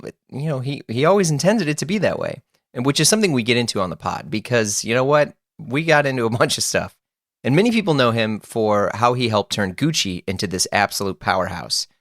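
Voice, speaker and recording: A man's voice: medium pitch, fairly bright tone, no echo, studio quality. A man hosting a casual podcast interview, enthusiastic, laughing often and restarting his sentences. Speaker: podcast host.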